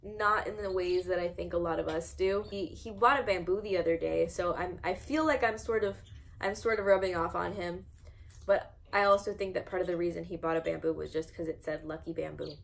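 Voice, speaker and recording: A woman's voice: lowish voice, fairly even intonation, brisk, gravelly. A 21-year-old woman with an American accent, chatting casually and informally in a self-deprecating, rambling way as she vlogs from home. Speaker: houseplant vlogger. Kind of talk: casual rambling chat while repotting plants. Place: indoor room at home with plants. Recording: noticeable background noise, home video.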